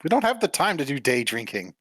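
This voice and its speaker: A man playing in a tabletop game, joking and talking in character with a sarcastic, expressive manner. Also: mid-range voice, fast, dry recording, studio quality. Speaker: player. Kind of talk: in-character tabletop play and banter.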